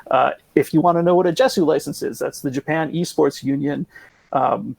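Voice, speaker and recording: A man in casual podcast conversation, explaining things in a rambling, hedging way with a gravelly, husky voice. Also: a little high, a little fast, a little mellow, dry recording. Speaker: podcast guest explaining esports.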